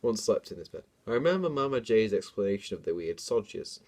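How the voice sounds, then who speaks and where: A man's voice: lowish voice, sing-song, brisk, a little mellow. A young man doing a dramatic reading of a story, breaking into casual, self-mocking asides. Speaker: comedian reading own childhood book.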